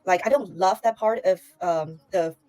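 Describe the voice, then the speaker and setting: A woman's voice: on the low side, normal speed, dark tone, rough recording. A woman from Taiwan, a guest on a livestream interview, chatting casually with many fillers and restarts over a remote call mic.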